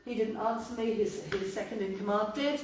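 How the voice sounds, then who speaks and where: A woman, a councillor speaking at a public meeting in a loud, blunt, emphatic voice. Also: medium pitch, fairly even intonation, a little fast. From North Wales. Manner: blunt, emphatic, rising to indignant questions. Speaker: county councillor. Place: chapel hall. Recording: echoey hall from far off with faint noise.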